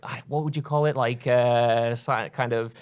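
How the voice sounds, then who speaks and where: A man's voice: medium pitch, fairly even intonation, unhurried, clear, resonant tone. A man co-hosting a podcast, explaining his points at length in a loose, conversational way. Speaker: podcast co-host. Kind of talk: casual panel discussion.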